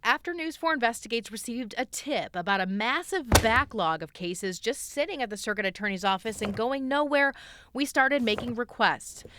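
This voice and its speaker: A woman's voice: fairly high pitch, expressive, normal speed, heavy background noise. A woman with an American accent reads scripted investigative news narration as a TV reporter.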